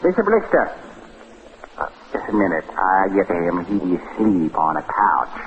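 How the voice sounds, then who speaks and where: A bossy household cook with a Chinese accent, scolding in clipped broken English. It is slow vintage radio acting on a dull, slightly hissy recording. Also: male voice, low voice, sing-song. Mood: polite, fussing.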